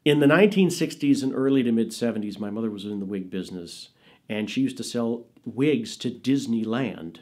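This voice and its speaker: Lively storytelling middle-aged man. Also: medium pitch, a little fast. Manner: animated, expressive storyteller. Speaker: interviewee. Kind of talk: casual storytelling.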